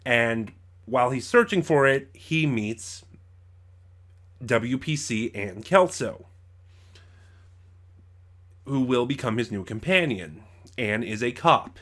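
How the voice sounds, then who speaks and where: A man hosting an informal review in a conversational, halting way, often circling back to rephrase himself. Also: mid-range voice, lively intonation, fairly bright tone. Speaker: reviewer and host of his own channel. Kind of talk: informal review to camera or mic, loosely scripted.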